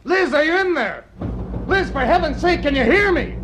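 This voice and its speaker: An actor playing an anxious, protective young boyfriend in a radio drama, with an urgent, emphatic delivery. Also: male voice, very high voice, lively intonation, moderate pace. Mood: alarmed, shouting. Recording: noisy old radio drama recording.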